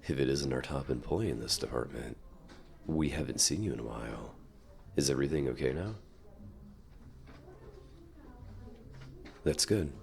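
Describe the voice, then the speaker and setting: A man playing a suave, dominant company CEO in an ASMR roleplay, speaking to the listener with measured, polite, quietly controlling reassurance and deliberate pauses. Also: rumbling low, gravelly. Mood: solicitous, composed. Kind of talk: ASMR roleplay acting, addressing the listener directly.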